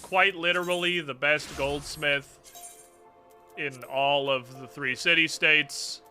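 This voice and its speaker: A man's voice: a little high, fairly even intonation, slow, sharp tone, faint music behind. A man streaming a game live, improvising commentary in a sarcastic, sweary way.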